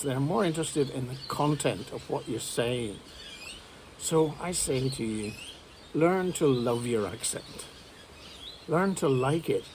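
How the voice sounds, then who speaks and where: A man teaching English learners, talking straight to camera in a measured, advising way. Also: medium pitch, darkish tone, slight background noise, poor audio. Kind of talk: direct-to-camera lesson.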